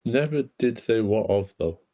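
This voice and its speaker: A man playing a direct, teasing teenage girl in a screenplay table read, with a husky voice. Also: fairly low pitch, lively intonation, moderate pace, muffled recording, close to an everyday voice. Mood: teasing, probing.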